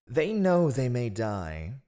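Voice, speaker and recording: Casting: man to play ruthless fantasy spymaster, smoothly sardonic, sly courtly deference, swooping intonation. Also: medium pitch, big pitch swings, slow pace, warm tone. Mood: scornful. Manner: sly, mocking, theatrically deferential. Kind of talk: fantasy audio roleplay acting.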